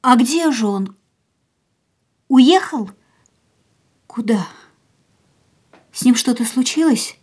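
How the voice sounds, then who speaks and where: Fragile, weary young woman for radio drama; husky, higher voice, hushed delivery. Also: expressive, takes it slowly, long pauses, exaggerated voice. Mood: urgent, anxious. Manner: soft, hushed, often whispering. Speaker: radio play actress.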